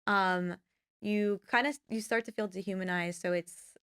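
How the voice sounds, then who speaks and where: Young woman, podcast guest, rambling casual talk, smooth resonant voice, dry close mic. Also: medium pitch, fairly even intonation, moderate pace, fairly bright tone, no room sound. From United States. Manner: rambling, many "like" fillers. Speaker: filmmaker guest. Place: podcast studio.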